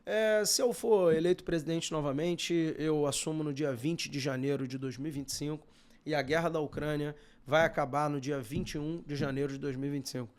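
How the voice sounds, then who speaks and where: A man's voice: on the high side, fairly even intonation, fairly quick. A man from Brazil co-hosting a news podcast, chatting informally with lots of casual fillers. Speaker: podcast co-host. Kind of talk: casual news-discussion chat.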